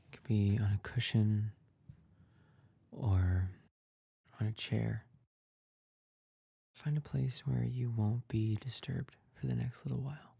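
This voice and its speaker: A man leading a guided meditation in a soft, breathy, husky voice, calm and gentle, with long pauses. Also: on the low side, dry recording.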